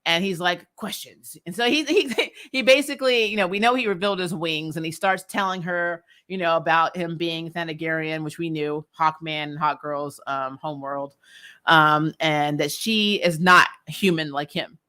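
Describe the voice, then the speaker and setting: A woman talks through an episode recap in a chatty, informal style. Her voice sits slightly low for a woman yet has a bright, forceful edge. She comes across as opinionated, dropping wry asides as she goes. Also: normal speed. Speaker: host of a TV recap show. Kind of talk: casual chatty episode review commentary.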